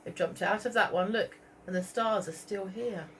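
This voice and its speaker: A woman reading a story aloud to young children as a teacher, expressively, pausing to point out pictures and ask questions. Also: mid-range voice, slight background noise, poor audio. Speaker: primary school teacher.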